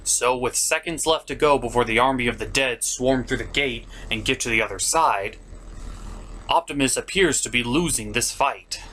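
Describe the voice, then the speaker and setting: A man's voice: medium pitch, moderate pace, pushed and bright, slight background noise, low-quality recording. A man delivering scripted comedic review commentary as a show host, emphatic and exasperated, with some swearing.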